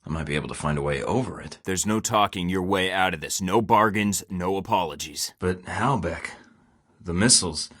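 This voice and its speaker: A man's voice: low-pitched, normal speed, fairly bright tone. A man voicing a wry young secret agent who stays cool under pressure and talks in a dry, sarcastic, clipped way, recorded as video game voice lines. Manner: dry, sarcastic, clipped radio-style field talk.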